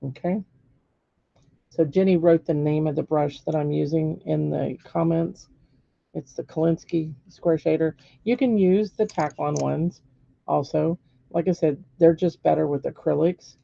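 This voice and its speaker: A hoarse, gravelly woman walks viewers through brush strokes in a patient, step-by-step way. Her voice is dark and mellow, recorded dry and a little dull, as on a live stream. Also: low voice, steady tone, a little slow, dry recording. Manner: patient step-by-step instruction with frequent "okay?" checks. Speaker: painting teacher. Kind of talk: live-streamed painting demonstration.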